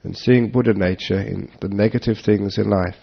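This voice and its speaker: A man giving a relaxed Dharma talk to an audience, joking and chuckling as he answers questions. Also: lowish voice, normal speed, gravelly, slightly rough. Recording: dull lo-fi talk recording.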